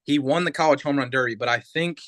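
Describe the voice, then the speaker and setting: A man's voice: medium pitch, fast, a bit bright. A man in his mid thirties, a podcast guest, talking in an easygoing, casual way and often answering with short agreeing replies. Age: 30s. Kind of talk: casual chat.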